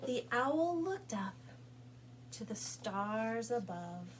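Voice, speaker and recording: Casting: woman children's storytime reader, higher-pitched, unhurried, sing-song and coaxing; noisy recording with a hum. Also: big pitch swings. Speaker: children's librarian reading aloud. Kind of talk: storytime read-aloud with sing-along for young children.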